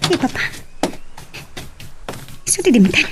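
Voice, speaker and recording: A woman's voice: measured pace, music in the background, close to an everyday voice. A woman with an Ilocano accent playing a suspicious young wife in an audio drama, questioning insistently. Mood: upset. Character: suspicious young wife, demanding the truth from her husband. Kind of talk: amateur Ilocano radio-style romance drama acting. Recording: distant from the microphone.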